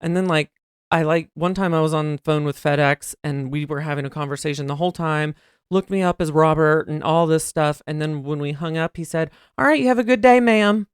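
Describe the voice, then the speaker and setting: A man co-hosting a casual podcast chat, talking in a soft, breathy voice that listeners call soothing, telling stories animatedly. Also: a little high, a little fast, no room sound, studio quality. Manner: chatty, animated storyteller. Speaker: podcast co-host.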